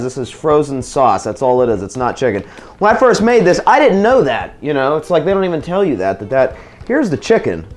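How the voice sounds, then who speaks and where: Chatty young man cooking at home. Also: on the high side. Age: young adult. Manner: chatty, loose, slangy, talks to viewers while cooking. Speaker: vlogger. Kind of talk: casual cooking vlog. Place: home kitchen, indoors.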